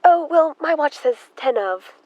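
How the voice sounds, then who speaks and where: High-pitched, fretful spinster played by a woman. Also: expressive, unhurried, no echo, muffled recording. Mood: nervous, flustered. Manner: fluttery, pleading, defensive. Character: anxious, fretful spinster sister, loyal and easily distressed. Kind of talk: theatrical radio drama acting.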